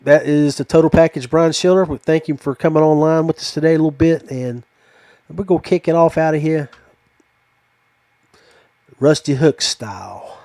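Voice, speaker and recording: Mellow, folksy man hosting a podcast. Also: on the high side, moderate pace, long pauses, no room sound. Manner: folksy, affectionate, rambling. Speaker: podcast host. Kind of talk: casual chat closing a fishing podcast interview.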